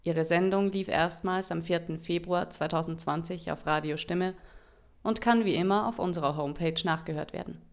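A woman presents a radio programme from a script. Her voice is on the low side, with plenty of vocal fry as she reads out the announcement. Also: moderate pace. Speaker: radio host. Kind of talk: scripted radio sign-off narration.

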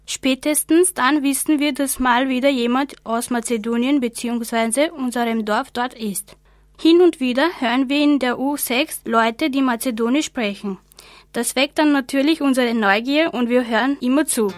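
A woman's voice: high-pitched, moderate pace, no room sound. A sixteen-year-old girl from Austria whose first language is Macedonian, carefully reading a prepared text for a radio programme. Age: teens. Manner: careful, even read-aloud delivery. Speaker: student.

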